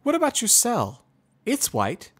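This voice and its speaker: Inquisitive, proper female pony for a fantasy story, raised pitch, emphatic delivery male reader acting her out. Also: wildly swooping pitch, measured pace, a bit bright, husky, heavily acted. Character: polite, inquisitive female pony doctor-like questioner.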